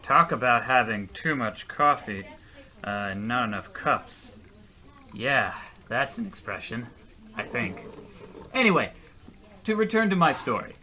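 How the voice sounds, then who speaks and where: An actor playing a self-dramatizing, attention-hungry loser in a comic radio play, rambling and melodramatic. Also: male voice, mid-range voice, sing-song, unhurried, long pauses, bright, forceful tone. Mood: rambling, self-important. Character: attention-hungry, self-dramatizing male loser telling his story in a diner. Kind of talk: comic radio play acting in old radio show vein.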